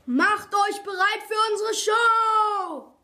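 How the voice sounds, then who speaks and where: A woman voicing a male zoo director who makes loud, drawn-out announcements in an audiobook story. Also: high-pitched, expressive, pitched above the performer's own voice. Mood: booming showmanship.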